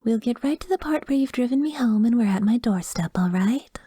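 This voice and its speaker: A woman playing a doting, manipulative therapist in an ASMR roleplay, soft-spoken with breathy, coaxing, controlling phrasing. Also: on the high side, animated, brisk, no echo, studio quality. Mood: composed, coaxing. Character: doting, possessive female therapist, manipulative and smoothly professional.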